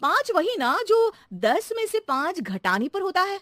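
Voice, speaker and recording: A woman voicing a sensible, wryly teasing housewife with an expressive delivery in a comedy cartoon. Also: very high-pitched, a little slow, no room sound, clean studio sound, full character voice. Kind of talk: comic Hindi cartoon acting.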